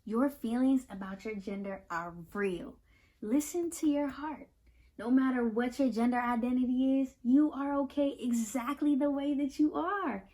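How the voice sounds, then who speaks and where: A woman's voice: high-pitched, unhurried, mellow. An American woman hosting a children's read-aloud, speaking directly and reassuringly to young listeners and repeating phrases expressively for emphasis. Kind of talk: picture book read-aloud with talk to children.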